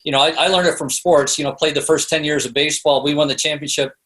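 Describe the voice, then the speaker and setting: A man's voice: on the high side, fairly even intonation, quick pace, a bit bright. A middle-aged man speaking as an interview guest, telling stories in a casual, chatty, rambling way. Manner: chatty, rambling, peppered with "you know". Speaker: podcast guest, sales expert and author. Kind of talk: casual anecdotal interview storytelling.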